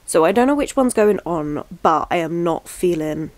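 A woman's voice: lowish voice, lively intonation, normal speed, a little mellow. A young woman talking casually to camera in a reading vlog, chatty and upbeat with rambling asides, at home among her bookshelves. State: stuffy from a cold. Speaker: book vlogger. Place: small bedroom with bookshelves, indoors.

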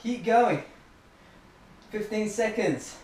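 Man coaching a workout, high voice. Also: slow pace, a little mellow, a little hiss or hum. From Australia. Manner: upbeat, encouraging countdowns and cues. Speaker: running club fitness coach. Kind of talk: workout instruction while exercising. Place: living room at home, indoors. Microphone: camera across the room. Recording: roomy, distant camera audio.

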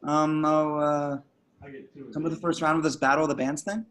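A man's voice: a little high, level tone, moderate pace, fairly bright tone, lightly acted. A man reading the part of an awkward, smitten young slacker in an amateur table read, stammering and hesitant. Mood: flustered. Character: awkward, smitten, dim young male slacker, nervously earnest. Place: online group video call.

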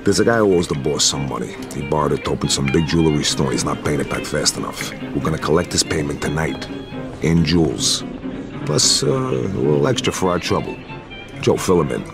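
An actor playing a cool, commanding mobster handing out jobs in a game cutscene, firm and matter-of-fact, with an American accent. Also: male voice, a bass voice, expressive, brisk, gravelly, heavily acted. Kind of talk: video game cutscene acting. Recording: music behind the voice.

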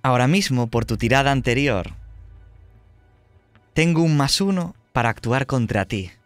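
A man's voice: mid-range voice, expressive, normal speed, clear, resonant tone, no echo, soft background music. A man running a tabletop horror roleplaying game, narrating in a dramatic, suspenseful way. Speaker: game master.

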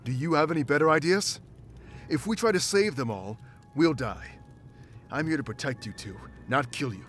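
Stern protective wolf warrior. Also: male voice, a little high, animated, moderate pace, fairly bright tone, a little hiss or hum. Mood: exasperated. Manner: firm, commanding. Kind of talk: video game voice acting.

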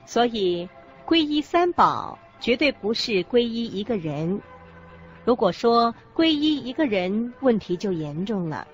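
A solemn Buddhist explainer speaks slowly and emphatically over soft music in a radio drama. Her intonation is lively, and the recording is slightly dull. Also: on the high side. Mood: solemn, emphatic. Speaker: co-presenter in a radio lecture drama. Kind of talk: scripted radio drama dialogue, expository. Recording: dull lo-fi audio with background music.

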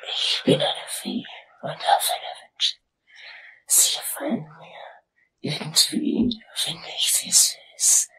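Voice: mid-range voice, wildly swooping pitch, gravelly, bright, forceful tone, husky, heavily acted, poor audio. Sinister whispering tooth fairy. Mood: sinister, coaxing. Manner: whispering, slow, threatening. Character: menacing, seductive tooth fairy, veiled and sinister. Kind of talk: German crime audio drama.

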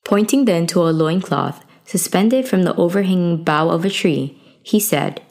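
Female storyteller for folktale narration, slightly low register, reading from a script. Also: lively intonation, moderate pace, darkish tone.

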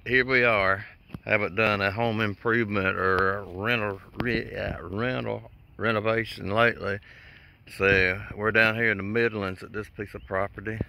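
A low, gravelly man rambles through a casual house walkthrough, chuckling between points. He records on a handheld phone, and the audio is noisy. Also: moderate pace. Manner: rambling, relaxed, chuckling asides. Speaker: house flipper showing a renovation. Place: empty house, indoors and out. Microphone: phone camera held while walking.

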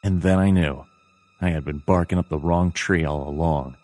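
A man playing a world-weary fox detective, deadpan and brooding, narrating a noir game in terse lines. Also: low voice, normal speed, slight background noise, close to an everyday voice. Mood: puzzled, deadpan. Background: game music.

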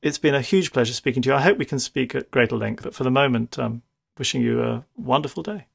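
Creaky-voiced British man interviewing. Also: medium pitch, fast. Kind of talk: polite telephone interview.

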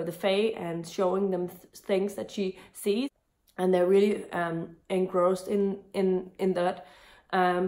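A woman's voice: mid-range voice, gravelly, darkish tone. Woman with a cold-strained voice. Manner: chatty, rambling with frequent restarts and ums. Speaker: book vlogger. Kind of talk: casual book review vlog. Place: home room with bookshelves, indoors.

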